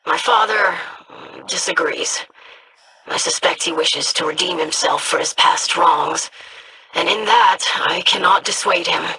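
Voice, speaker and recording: A woman voicing a measured, studious young alien scholar in a video game. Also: on the low side, noisy background. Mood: thoughtful. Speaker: researcher.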